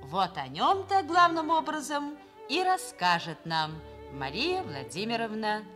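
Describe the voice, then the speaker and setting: A woman's voice: fairly high pitch, big pitch swings, unhurried. A woman announcer reading a formal scripted introduction to a radio play. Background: violin music.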